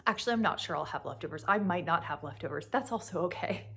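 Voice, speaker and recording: A woman's voice: lowish voice, lively intonation, quick pace, vocal fry, fairly bright tone. A young woman with a cold and a sniffly voice, rambling in a casual chat as host of a knitting podcast, with plenty of fillers. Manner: rambling, chatty, with frequent fillers and laughs.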